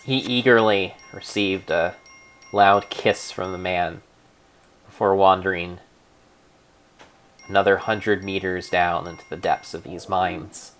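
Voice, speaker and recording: A man narrating a video game as a dramatic story, in a theatrical, deliberate, mock-solemn manner. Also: low voice, steady tone, a bit bright.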